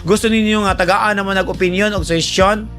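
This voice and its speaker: Male radio host, high-pitched, conversational and emphatic advice-giver over light background music. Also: steady tone, quick pace, a bit bright.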